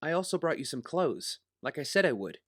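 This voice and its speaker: A man's voice: on the high side, very animated, brisk. An actor playing an earnest, guilt-ridden young man seeking forgiveness in an audio drama, speaking apologetically. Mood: pleading. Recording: echoey, long reverb, music behind.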